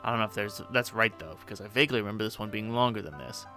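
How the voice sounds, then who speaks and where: A grumpy teenage troll leader, bossy and ranting, swearing in exasperation at everyone. A man acts him out in a bright, tense voice, with faint music in the background. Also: fairly low pitch, very fast, voice pushed down. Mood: exasperated.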